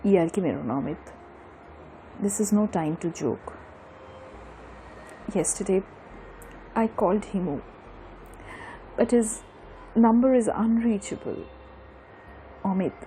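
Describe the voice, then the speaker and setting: A woman's voice: mid-range voice, normal speed, long pauses, warm tone, slight background noise, close to an everyday voice, poor audio. A woman with an Indian Bengali accent playing a lovelorn young woman confiding in her best friend in an audio drama. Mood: anxious, pleading.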